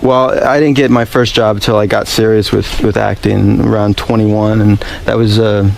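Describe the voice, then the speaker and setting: Male interview guest, gravelly fry, modest and casual, faint noise behind. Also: lowish voice, level tone, normal speed, low-quality recording. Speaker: actor being interviewed.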